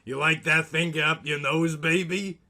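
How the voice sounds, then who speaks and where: A man's voice: on the high side, steady tone, normal speed, bright, forceful tone, pitched above the performer's own voice. A man voicing a crude, bossy, sleazy goblin-like boss in a comic audio story, with folksy, slangy, leering delivery.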